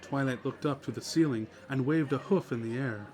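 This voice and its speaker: Male story narrator, medium-pitched voice. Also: a little fast, darkish tone. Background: music.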